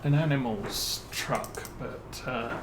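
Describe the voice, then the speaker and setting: A man with a British accent giving relaxed let's-play commentary as a streamer, musing and thinking aloud with plenty of fillers. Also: mid-range voice, slow pace, noisy background. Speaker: game streamer.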